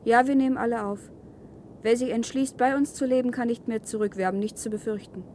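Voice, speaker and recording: An actress playing a calm, earnest woman living free in a wasteland, in a 1970s German radio play. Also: a little high, quick pace, slight background noise, lightly acted. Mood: calm, reassuring.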